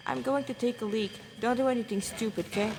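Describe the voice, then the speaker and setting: A woman's voice: fairly high pitch, fairly even intonation, a little fast, noisy background, close to an everyday voice. A woman voicing a cheeky, restless young male cadet in a story, with a slangy, teasing manner. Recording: echoey room with long reverb.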